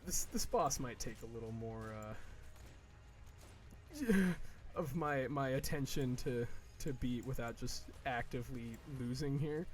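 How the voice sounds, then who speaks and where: A man in his early twenties chatting casually while playing a game, rambling with many fillers, restarts and swearing. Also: mid-range voice. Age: early 20s. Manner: slow, drawn-out mock whining in quoted asides. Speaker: gaming video creator.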